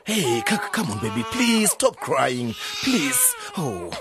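Casting: frazzled young dad in a radio play, gravelly and husky, lively up and down. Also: male voice, mid-range voice, moderate pace, loud music behind the voice. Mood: coaxing. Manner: coaxing, exasperated sighs. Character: harried young father, patient but frazzled. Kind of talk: radio drama acting.

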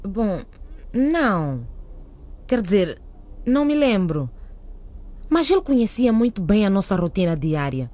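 Stubborn accusing mother in a radio drama; animated, pointed. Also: mid-range voice, measured pace, long pauses. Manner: insistent and pointed. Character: suspicious middle-aged mother, stubborn and accusing.

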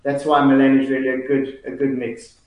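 A man's voice: mid-range voice, completely flat delivery, fairly quick, distant mic, narrow, tinny audio. A man in his forties with a South African accent, chatting as a guest, hesitant with many fillers and restarts. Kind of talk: casual chat. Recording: very echoey room.

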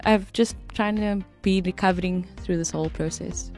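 A woman's voice: fairly low pitch, moderate pace. Woman host with a slight cough. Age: young adult. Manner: upbeat and expressive. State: recovering from flu, slight cough. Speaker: radio co-host. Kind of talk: casual radio chat. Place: radio studio. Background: faint music bed.

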